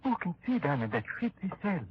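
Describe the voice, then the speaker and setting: Bossy, scolding male French jailer, French accent, fairly high voice, 1930s radio drama, faint noise. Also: sing-song, brisk. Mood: irritated, bossy. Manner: scolding, brusque. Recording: dull, noisy 1930s radio recording.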